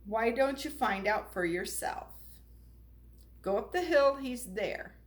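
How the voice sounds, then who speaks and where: A woman's voice: on the high side, animated, slow. A woman voicing a carefree, self-assured female wooden puppet in a storybook, upbeat and matter-of-fact. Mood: breezy.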